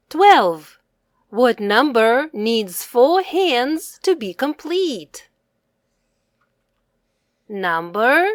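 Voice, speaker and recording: A woman leads a children's quiz in a teacherly way, speaking very slowly and enunciating with care. Her voice sits a little high and moves with lively intonation between question and answer. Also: no room sound, studio quality. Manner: slow, deliberate, carefully enunciated, teacherly. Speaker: children's read-aloud presenter and teacher.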